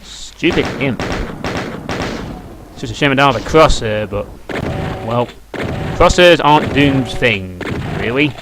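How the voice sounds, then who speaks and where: A man's voice: mid-range voice, animated, measured pace, pauses often. A British man giving casual, off-the-cuff commentary as he plays a game, wry and grumbling. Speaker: let's play commentator. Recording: noisy home recording, game sound behind. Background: shooter game gunfire and clicks.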